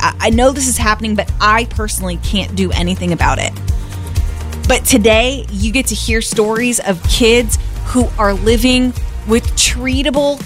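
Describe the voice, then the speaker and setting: Urgent, persuasive woman radio host, fairly high voice, soft music bed. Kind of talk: radio fundraising appeal.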